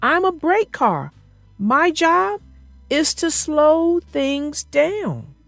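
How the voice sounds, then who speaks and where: Casting: woman to voice a cautious, matter-of-fact railway brake car for children, precise, high and lively. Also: extremely slow, vocal fry, dry recording, faint music behind, exaggerated voice. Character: cautious, earnest, literal-minded male brake car.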